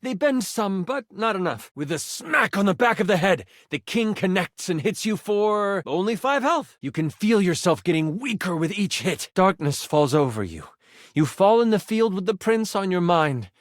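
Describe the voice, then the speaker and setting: A man voicing an excitable twenty-sided die in a video game, narrating a fantasy tabletop adventure as a dramatic, expressive game master. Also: high-pitched, normal speed, no echo, clean studio sound. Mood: grave, tense. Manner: theatrical, expressive storytelling with sighs and asides.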